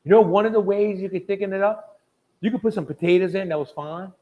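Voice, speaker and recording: A man's voice: high-pitched, fairly even intonation, fast talker, narrow, tinny audio. A man hosting a live cooking demonstration in a folksy, chatty, colloquial way. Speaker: host of a live cooking show.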